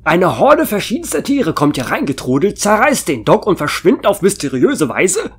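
A man's voice: high-pitched, huge pitch swings, normal speed, a bit bright, breathy edge, heavily acted. A man playing a commanding, sarcastic and impatient police chief in a German horror radio play. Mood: stern, sarcastic. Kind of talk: German horror audio drama acting.

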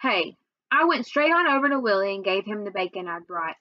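A woman's voice: medium pitch, very animated, fast talker, no echo, dull audio. A young adult woman narrating a children's book as a read-aloud, recorded indoors on a webcam. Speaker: reader. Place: small room at home, indoors.

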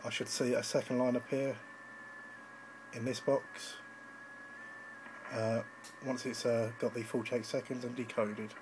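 A man's voice: mid-range voice, flat delivery, measured pace, pauses often, noisy background. A man giving an informal, slightly hesitant live demonstration as an amateur radio operator, recorded on a handheld phone indoors. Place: indoors at a radio station desk.

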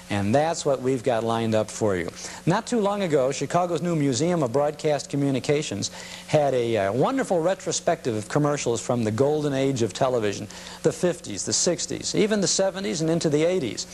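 A man hosting a nostalgia program, talking informally and somewhat hesitantly as he presents. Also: on the high side. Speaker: host of a nostalgia show. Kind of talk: informal presenting to camera or audience. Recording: hum and steady background noise.

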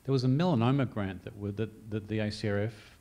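A man's voice: on the low side, fairly quick, mellow. A man putting an informal question in a panel discussion, restarting it several times.

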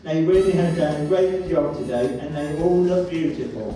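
A man's voice: fairly high pitch, normal speed, very noisy. Man giving a formal wedding toast. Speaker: wedding speaker, master of ceremonies. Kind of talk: wedding speech. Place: large banquet hall with guests. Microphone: handheld mic, filmed from afar. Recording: echoey hall from far off, music and crowd behind.

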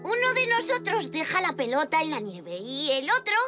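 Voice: high-pitched, wildly swooping pitch, normal speed, loud music behind the voice. An actor voicing a curious, eager five-year-old zebra boy in a children's cartoon, with an accent from Spain. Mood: playful, eager. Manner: eager, exclamatory. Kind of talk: animated children's series dub.

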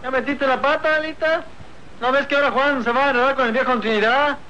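A man playing an excitable, wily, timid rancher sidekick in a radio serial, with folksy northern Mexican talk. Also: very high-pitched, quick pace. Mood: scolding. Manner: folksy, drawling rustic talk. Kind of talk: old radio serial acting. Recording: dull, noisy old radio recording.

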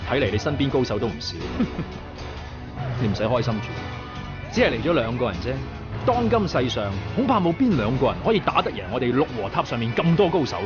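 A man's voice: mid-range voice, big pitch swings, fairly quick, lo-fi sound, music in the background, natural voice. A man acting a young, righteous martial rebel leader in a Cantonese radio drama, earnest and persuasive, with formal declamatory speech. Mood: confident.

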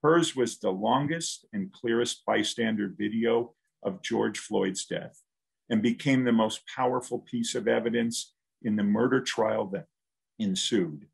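Older man, gravelly low-voiced formal speech. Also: unhurried, poor audio. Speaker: university dean. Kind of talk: scripted commencement speech. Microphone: video call, computer microphone.

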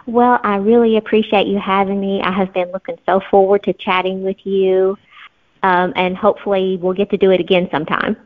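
A woman's voice: medium pitch, little pitch movement, brisk. A woman speaking as an interview guest, chatting casually about her work. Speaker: podcast guest, fiction author. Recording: tinny phone-like call audio.

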